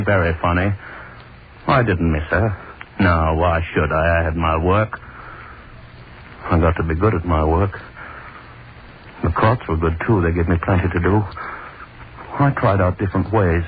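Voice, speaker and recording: A man's voice: low-pitched, fairly even intonation, moderate pace, pauses often, close to an everyday voice. An actor playing an obsessive, cruel hangman who gloats and broods over his work, in a 1930s radio drama monologue. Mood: brooding. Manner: gloating, brooding, lingers on words. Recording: scratchy tinny 1930s radio broadcast.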